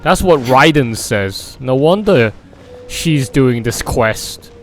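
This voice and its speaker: A man's voice: mid-range voice, animated, slow, faint music behind. A man doing casual live game commentary in a joking, teasing way. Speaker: live streamer.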